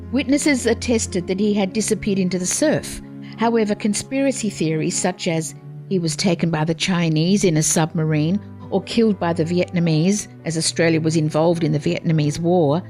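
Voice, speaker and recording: A woman narrates a historical script in a formal, measured way. Her voice is on the low side, with music clearly playing behind her. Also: darkish tone.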